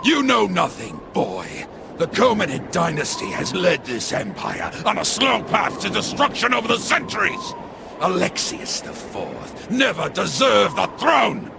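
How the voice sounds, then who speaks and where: An actor playing an arrogant, enraged usurper emperor in a video game, theatrical and scornful, with a gravelly voice. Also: male voice, high voice, huge pitch swings, a little slow, noisy background, exaggerated voice. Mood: scornful menace. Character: villainous usurper emperor, arrogant, defiant, full of rage. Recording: dramatic music behind the voice.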